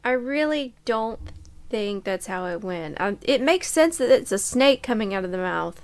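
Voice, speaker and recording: A woman's voice: a little high, expressive, moderate pace. A woman co-hosting a casual podcast chat about films and books, matter-of-fact as she counters her co-host.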